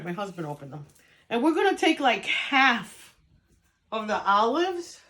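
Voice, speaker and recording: A woman's voice: fairly high pitch, a little hiss or hum. A woman chatting casually as she demonstrates a home cooking recipe, laughing now and then. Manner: chatty, laughs while explaining. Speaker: home cook.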